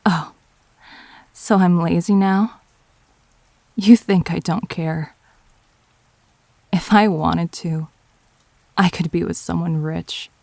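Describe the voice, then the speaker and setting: Woman acting a slow, creaky, indignant girlfriend. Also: on the low side, steady tone, a little mellow, no room sound. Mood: indignant, scornful. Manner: confrontational, scornful. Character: defiant, indignant girlfriend, haughty and cutting. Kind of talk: emotional audio roleplay argument.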